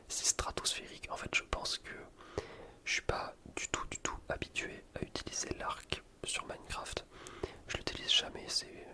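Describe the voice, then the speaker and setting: A man commentating on gameplay in a breathy, unvoiced ASMR whisper, informal and explanatory. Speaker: gaming video creator. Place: voice-over recorded separately from gameplay.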